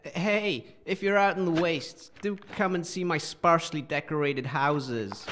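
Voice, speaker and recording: A man playing an eccentric, friendly junk-collecting wanderer in a comic audio drama, affable and quietly proud as he rambles. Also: on the high side, measured pace, slight background noise. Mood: helpful, chatty.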